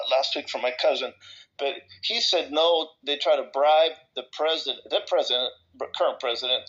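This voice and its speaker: A man with a bright, forceful voice at a middling pitch talks casually and without a script. He rambles, repeats words and drops sentences halfway before picking up again. The audio is a little dull and lo-fi. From El Salvador. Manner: rambling, halting, repeats words and breaks off mid-thought. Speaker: discussion participant. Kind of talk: casual, impromptu personal talk in a group discussion.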